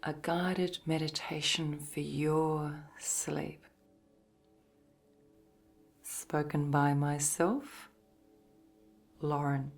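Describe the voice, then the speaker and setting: A woman gently narrating a guided sleep meditation in a soft, breathy, soothing voice, with measured phrases and long pauses. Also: sits low, little pitch movement. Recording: voice over background music. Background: meditation music.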